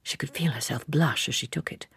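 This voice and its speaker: A woman reading literary narration in a measured, expressive storytelling manner. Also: very deep voice, slightly rough, no room sound. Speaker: audiobook narrator.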